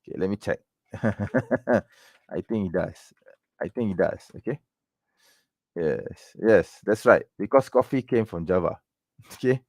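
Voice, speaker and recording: Malaysian male livestream host, very low voice, dry close sound, loose rambling delivery with constant tag questions. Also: slow pace, pauses often, darkish tone, no echo. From Malaysia, raised in Penang. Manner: rambling, many fillers and tag questions like "okay?" and "right?". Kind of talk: casual livestream chat answering viewer questions.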